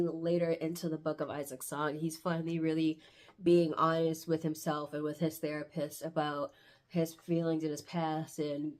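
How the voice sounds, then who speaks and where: A congested woman with a stuffy nose from hay fever, chatting casually and reflectively as she reviews books, often searching for words. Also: low voice. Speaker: book reviewer vlogger. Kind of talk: casual book review chat, with passages read aloud.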